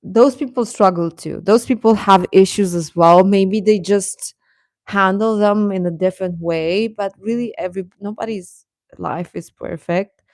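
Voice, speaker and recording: A woman's voice: medium pitch, a little slow, darkish tone, no room sound. A woman giving casual, reassuring advice as she chats with her livestream viewers in an encouraging way. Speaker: livestream host talking with young viewers.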